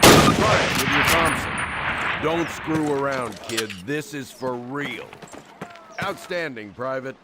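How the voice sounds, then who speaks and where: A seasoned American army sergeant gives no-nonsense training orders in a World War II game. His slightly high male voice swings widely in pitch. Gunshots and clear noise sit behind the commands. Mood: forceful, then stern, encouraging. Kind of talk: military game tutorial dialogue. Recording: noisy, gunshots and clicks behind.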